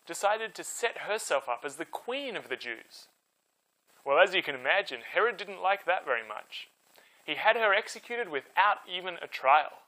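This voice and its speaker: Animated, bright-voiced male storyteller. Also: fairly high pitch, low-quality recording. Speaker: storyteller host. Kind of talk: animated scripted historical storytelling.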